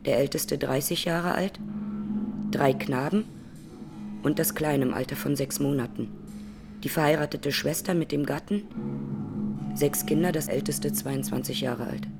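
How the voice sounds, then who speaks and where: An actress playing an Armenian woman in her twenties, a massacre survivor giving measured, sober testimony in a radio drama. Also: low voice, vocal fry, music in the background. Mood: grave, sober. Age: 20s.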